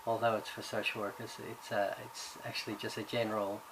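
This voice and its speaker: A man's voice: lowish voice, level tone, a little fast. A man from Ireland, raised in Australia, giving a recorded course introduction as subject coordinator, talking hesitantly with many fillers and restarts. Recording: background noise.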